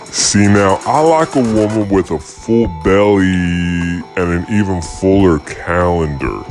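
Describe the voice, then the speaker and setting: Man playing a very slow, deep-voiced radio DJ counselor. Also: a little mellow, soft background music. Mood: smug reminiscing. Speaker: radio DJ host. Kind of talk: scripted comedic radio play.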